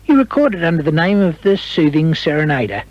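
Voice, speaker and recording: A man's voice: fairly high pitch, very animated, a little fast, dull audio, soft background music. A man working as a radio presenter, introducing records and interviewing a guest.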